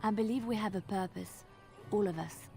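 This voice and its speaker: A woman's voice: mid-range voice, moderate pace, gravelly, faint music behind, natural voice. A woman voicing an earnest devout woman. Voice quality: gentle, sincere.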